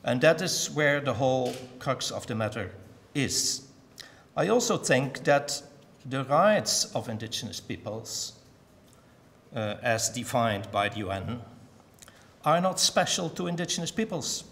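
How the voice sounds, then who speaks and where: An older man from the Netherlands giving a measured, thoughtful talk at a symposium, with frequent pauses and ums. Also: mid-range voice, animated, a bit bright. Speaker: symposium speaker. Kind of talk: academic conference talk. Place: museum lecture hall. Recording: long hall reverb.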